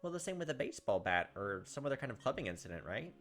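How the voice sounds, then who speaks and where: A man reads visual novel narration aloud in a quick voice of middling pitch. He hosts a gaming playthrough, slipping in brief remarks of his own, with game music behind him. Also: sing-song, fairly bright tone. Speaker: gaming channel host doing a playthrough. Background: visual novel background music.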